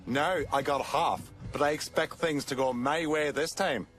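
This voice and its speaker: A man's voice: fairly high pitch, moderate pace, fairly bright tone, close to an everyday voice. A man playing an aggrieved, blunt gang underboss in a crime game, pointed in manner, with music behind him. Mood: aggrieved, then conciliatory.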